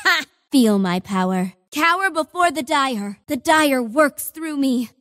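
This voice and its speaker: Imperious, zealous female warlord, higher-pitched. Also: lively intonation, takes it slowly, fairly bright tone, no echo, studio quality, heavily acted. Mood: fierce, resolute. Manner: imperious, menacing, theatrical declaiming. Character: zealous, bloodthirsty young female warlord of a dark cult. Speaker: voice actress. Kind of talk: video game voice lines.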